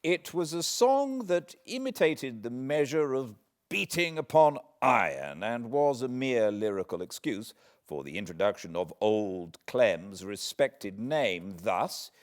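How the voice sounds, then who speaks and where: A man, reading a classic novel aloud in measured, expressive storytelling. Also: mid-range voice. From Britain. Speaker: audiobook narrator. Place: cozy home spot beside a fireplace.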